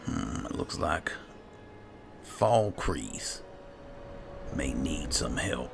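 A man's voice: very deep voice, sing-song, extremely slow, gravelly. A man roleplaying a character in a game playthrough, narrating casually and without hurry. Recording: background music under the voice. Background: game music.

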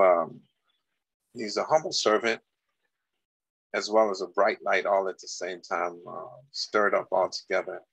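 A man's voice: deep voice, creaky, a bit bright, husky, no room sound, low-quality recording. A man hosting a video call panel, introducing a guest with praise and filler words. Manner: admiring, hesitant with fillers. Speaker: host. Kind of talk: introducing a speaker.

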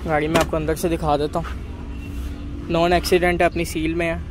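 A man walking viewers around used cars at an outdoor bazaar, listing their features in a steady, repetitive way. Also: on the high side, brisk, over music. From Pakistan. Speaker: car market video host. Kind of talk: walkaround car review for viewers. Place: outdoor car bazaar, dusty lot. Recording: outdoor market noise behind.

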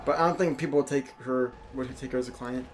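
A young man talks casually while playing a video game, joking as he comments. His pitch is average for a man. There is faint noise and game sound behind his voice. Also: steady tone, quick pace. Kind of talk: casual joking gameplay chat. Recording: noisy, game ambience behind voice.

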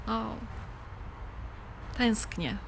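A woman's voice: fairly high pitch, drawn-out words, vocal fry, very noisy, exaggerated voice. A woman playing a skeptical but fond middle-aged mother being courted, questioning incredulously in an audio drama. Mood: soft, fond.

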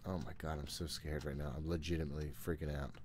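A man's voice: very deep voice, level tone, fast talker, noisy background. A man in his thirties giving casual, nervous, jokey and profane commentary while playing a horror game at home. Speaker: gaming streamer. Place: small room at home, indoors. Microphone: desk condenser mic close by, headphones on.

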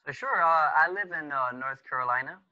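Guest: thirties man, Spanish first language, very bright tense tone, dry dull webcam audio. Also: on the high side, no room sound. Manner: halting, many filler uh pauses. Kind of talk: casual conversation over video call. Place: small room at home. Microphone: webcam video call.

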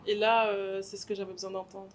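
A young woman guest recounting her story, soft-spoken with many fillers. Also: medium pitch, level tone, fast. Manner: soft, frequent "en fait" and "euh". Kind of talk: personal testimony in interview. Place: hotel lounge, indoors.

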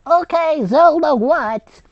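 An extremely high, squeaky girl witch for a comic story. She sounds childish and eager and speaks slowly. The audio is dull and lo-fi. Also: lively intonation. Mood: curious, chirpy. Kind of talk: mocking fan fiction character reading.

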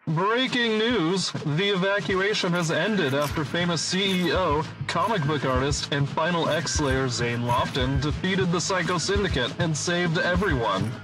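Man playing an emphatic, higher-pitched news announcer. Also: unhurried, hardly any pauses, over music, natural voice, low-quality recording. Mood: excited. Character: excited male TV news announcer. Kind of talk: breaking news parody in a video game.

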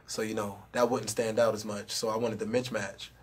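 Husky laid-back young rapper. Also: male voice, on the low side, little pitch movement, moderate pace. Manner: laid-back, repeats "you know what I mean". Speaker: rapper guest. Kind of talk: casual interview chat. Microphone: handheld mic.

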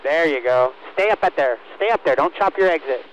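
High-pitched male race spotter on noisy radio. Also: expressive, brisk, lo-fi sound. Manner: repeats short clipped commands. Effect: team radio. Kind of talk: urgent radio spotting calls to a driver.

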